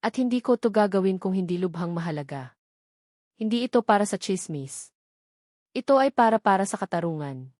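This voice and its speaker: A woman's voice: mid-range voice, expressive, moderate pace, long pauses, darkish tone, no echo, clean studio sound, lightly acted. A woman voicing a determined young male doctor in a story, earnest and insistent as he asks for a favor. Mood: firm, insistent. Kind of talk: storybook character dialogue.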